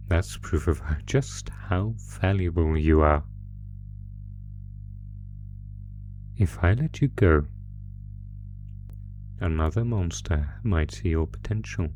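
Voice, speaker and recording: A man's voice: sits low, a little mellow. A man voicing an ancient, possessive monster captor in a horror roleplay, gloating with menacing, mock-tender teasing. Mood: possessive pride. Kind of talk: horror roleplay audio acting.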